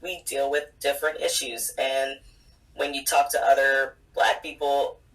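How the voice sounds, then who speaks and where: A woman's voice: a bass voice, unhurried. Woman guest, 30s mom, blunt anecdotes, set back from the mic. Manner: blunt, animated storytelling. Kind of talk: casual chat. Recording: distant mic.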